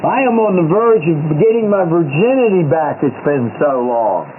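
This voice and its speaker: A man trades rambling, teasing banter over a two-way radio handset. His voice comes through thin and tinny, with a steady hiss of static behind it. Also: on the high side, moderate pace. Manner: joshing, rambling, laughs at his own jokes. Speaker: radio chatter regular.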